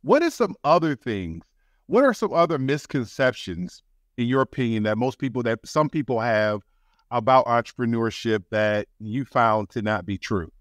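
A man's voice: medium pitch, lively intonation, moderate pace, no echo. Male podcast host, dry close sound. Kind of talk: conversational interviewing. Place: indoor room, video call.

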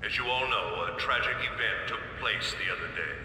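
An actor playing a pompous middle-aged school principal, giving a formal, oratorical address in a video game. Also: male voice, brisk, sharp tone. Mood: solemn, formal. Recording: echoey hall, rumbling noise.

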